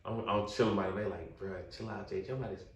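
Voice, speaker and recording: A young man spins a story in loose, slangy talk. He jumps into other people's voices when he quotes them. His voice sits a little low and comes from a distance in a roomy, echoing space. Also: quick pace. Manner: animated, slangy, acts out quotes in a high voice. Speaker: podcast guest. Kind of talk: casual storytelling. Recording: roomy echo, distant mic.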